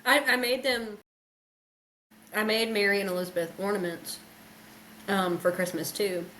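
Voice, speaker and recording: A woman's voice: medium pitch, steady tone, normal speed, bright, forceful tone, poor audio. A woman co-hosting a podcast in casual, conversational chat, with some noise behind her. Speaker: podcast co-host.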